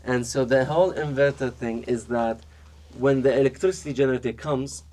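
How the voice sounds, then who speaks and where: A man from Iraq, a podcast guest chatting casually, talking with many fillers and restarts and growing animated as he tells stories. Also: mid-range voice, fairly quick. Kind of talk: casual interview chat.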